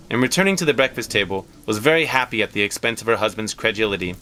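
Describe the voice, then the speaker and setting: A man reading a story aloud as an expressive scripted narration. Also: medium pitch, a little hiss or hum. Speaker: story reader.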